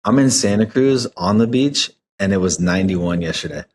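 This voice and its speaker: A man's voice: sits low, lively intonation, normal speed, a little hiss or hum. A man, talking casually as the host of a podcast interview.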